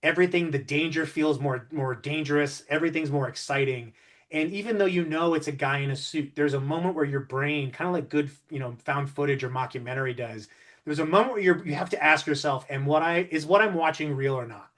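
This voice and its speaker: A man's voice: a little high, brisk, a bit bright. A man co-hosting an interview, chatting casually and musing at length, often circling back to rephrase. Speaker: interviewer, co-host. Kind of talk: casual discursive conversation.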